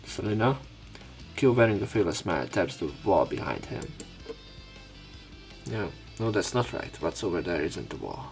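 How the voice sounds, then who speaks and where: A man reading visual novel narration aloud as a let's player, pausing to comment on the text. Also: deep voice, big pitch swings, creaky, faint music behind.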